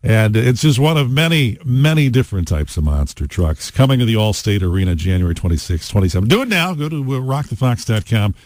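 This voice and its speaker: A man hosting a rock radio show, talking in a relaxed, chatty way with frequent fillers between songs. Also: fairly low pitch, a little fast, no room sound. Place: radio studio. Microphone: studio microphone.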